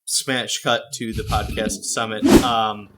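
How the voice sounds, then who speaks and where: A man co-hosting a comedy podcast, talking in a hesitant, halting way. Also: lowish voice, flat delivery, over a noisy crowd. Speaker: podcast co-host. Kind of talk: improvised comedy chat.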